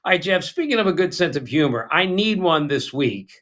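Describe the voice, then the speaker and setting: Animated older man telling stories. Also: on the high side, a little fast. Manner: animated storyteller, breaks into impressions. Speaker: podcast co-host. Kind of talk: casual chat.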